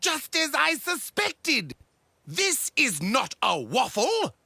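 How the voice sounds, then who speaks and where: A woman voicing a fussy, bumbling absent-minded professor with a husky voice. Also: sits high, huge pitch swings, slow, bright, forceful tone, no room sound, heavily acted. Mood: pompous.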